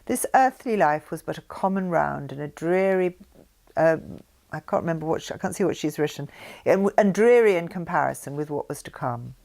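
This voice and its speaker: An older woman reads a spiritual text aloud. Her voice sits fairly low and has a strong creak to it. The delivery is formal and deliberate, leaning on certain words for emphasis. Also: lively intonation, a little mellow. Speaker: reader of her mother's written messages. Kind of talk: reading aloud a spiritual text with brief asides.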